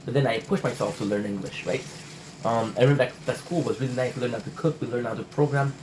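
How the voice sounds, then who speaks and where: Guy vlogging, stammers and restarts, swears a lot, normal pitch. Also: quick pace, a little mellow, a little hiss or hum, rough recording. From Montreal, Canada. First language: French. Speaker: channel host. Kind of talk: casual rambling vlog chat.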